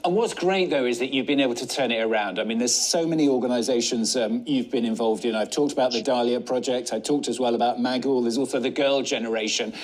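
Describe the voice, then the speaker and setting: A male television presenter with a slightly husky voice, mid-pitched and polished. He introduces his guest in an even broadcast manner and puts leading questions to her. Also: fast talker, few pauses. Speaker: TV news presenter. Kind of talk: broadcast interview.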